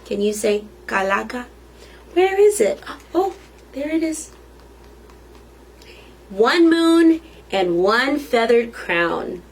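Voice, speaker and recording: A woman's voice: on the high side, sing-song, takes it slowly, long pauses, breathy edge. A woman reading a children's picture book aloud as a storytime reader, expressively and with questions to the listeners.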